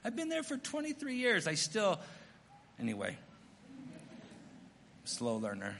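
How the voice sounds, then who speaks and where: A middle-aged American missionary pastor preaching a sermon, telling stories in an earnest, self-deprecating way. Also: male voice, sits high, wildly swooping pitch, measured pace, creaky, fairly bright tone, long reverb tail, slight background noise. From United States.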